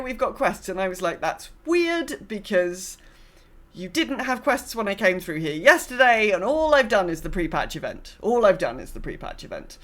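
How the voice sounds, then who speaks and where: A woman podcast host chatting casually about gaming, telling stories in a rambling, self-correcting way. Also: on the high side.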